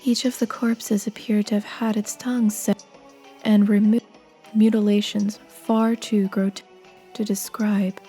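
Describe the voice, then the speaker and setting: A woman plays a solemn narrator in a horror game. Her tone is dark and mellow as she reads the scripted text. Faint music sits underneath. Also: mid-range voice, level tone, unhurried, husky. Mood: grave.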